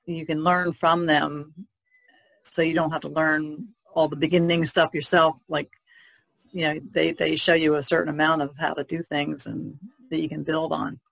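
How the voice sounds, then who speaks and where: Woman with a flat, creaky voice. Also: sits low, normal speed, no echo, poor audio. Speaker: podcast panelist. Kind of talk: casual discussion of art.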